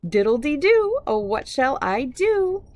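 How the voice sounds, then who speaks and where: A panicky little girl from a picture book, voiced by a woman, pitched high and crying out in singsong. Her delivery swoops up and down, with a close, dry sound. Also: very animated, a little slow, dry recording. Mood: singsong dismay. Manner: yelling, exclaiming in singsong. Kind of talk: children's storybook read-aloud character.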